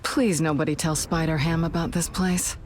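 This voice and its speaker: A woman's voice: deep voice, steady tone, moderate pace, darkish tone, slight background noise, close to an everyday voice. A woman playing a cool, wry spy in a video game. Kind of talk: video game character voice line.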